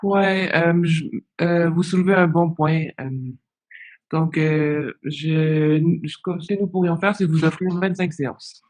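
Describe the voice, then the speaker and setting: Male case agent. Slightly high voice, polite, hesitant, questioning delivery. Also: steady tone, takes it slowly, a little mellow. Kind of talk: professional phone conversation, questioning.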